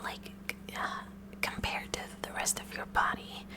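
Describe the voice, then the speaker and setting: A woman playing a kooky, curious high school science club girl in a whispered ASMR roleplay, musing haltingly with clinical fascination in a breathy whisper. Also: close to an everyday voice. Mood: intensely curious. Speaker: ASMR performer.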